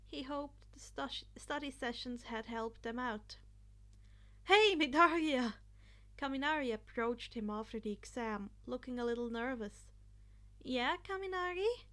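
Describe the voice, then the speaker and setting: A woman's voice: on the high side, steady tone, moderate pace, hardly any pauses. A woman reading scripted story narration as an audiobook narrator.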